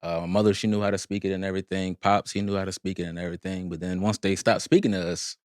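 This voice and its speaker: Arabic-native man, podcast guest, low voice, relaxed rambling delivery, dry close-miked sound. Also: fast talker, no echo. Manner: laid-back, rambling with many fillers. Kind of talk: casual interview chat.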